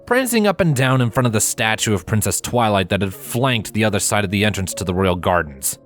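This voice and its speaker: A man's voice: lowish voice, very animated, a little fast, faint music behind. A man reading a story aloud as a scripted narration. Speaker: fan fiction reader and narrator.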